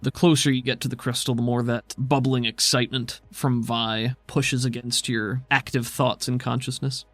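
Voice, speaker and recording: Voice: medium pitch, flat delivery, moderate pace, hardly any pauses, no room sound, soft background music. A game master narrating and refereeing a tabletop role-playing game in a casual, conversational way.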